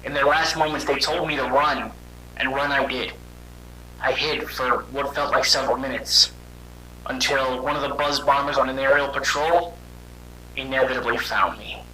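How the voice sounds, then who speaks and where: A man voicing a weary young robot hedgehog, telling his story in a halting, conversational and dramatic way for a fan audio drama. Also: medium pitch, fairly even intonation, over a noisy crowd, close to an everyday voice, poor audio. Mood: somber, reflective.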